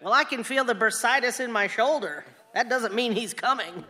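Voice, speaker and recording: A sharp-tongued, exasperated older wife in a radio drama. Her bright, forceful voice rings with long reverb as she needles and nags, outspoken and dryly sardonic. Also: fairly high pitch, moderate pace. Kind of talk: radio play acting.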